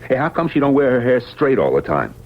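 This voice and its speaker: An actor playing a weary, henpecked New York husband in a radio drama, wry, grumbling and resigned. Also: male voice, mid-range voice, big pitch swings, fast talker. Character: weary henpecked garment-worker husband, wryly wisecracking, resigned. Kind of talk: 1970s radio drama acting. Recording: dull vintage radio recording.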